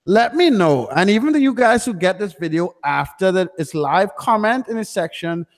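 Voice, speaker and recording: A man's voice: high-pitched, animated, normal speed. A man from Trinidad hosting a casual livestream chat, joking and swearing freely. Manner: profane, joking banter. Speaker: YouTube host. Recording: reverberant, hall-like echo.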